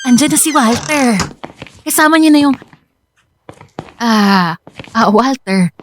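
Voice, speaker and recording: A woman's voice: fairly high pitch, expressive, slow, slight background noise. A woman playing a fussing, motherly middle-aged mother of grown children in a Filipino radio drama. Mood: flustered.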